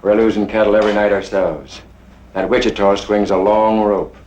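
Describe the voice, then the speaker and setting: An actor playing a wary, grumbling cattle rustler in an old western film, talking in folksy cowboy slang. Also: male voice, on the low side, fairly even intonation, normal speed, a little mellow, natural voice. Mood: wary, grim. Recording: echoey, faintly noisy old film soundtrack.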